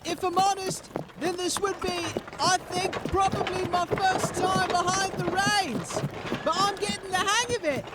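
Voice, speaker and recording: A man playing an eager, apologetic young watchman at the reins of a carriage in a fantasy audio drama, halting and deferential. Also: very high voice, steady tone. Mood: sheepish, eager. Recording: loud clattering carriage noise behind.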